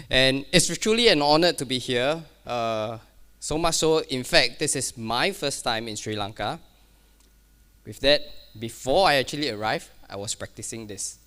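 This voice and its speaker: A man's voice: mid-range voice, pushed and bright, clear, resonant tone. A man giving a keynote at a conference, speaking casually, with many fillers and restarts and a self-deprecating touch. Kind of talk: keynote talk on cybersecurity. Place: conference hall.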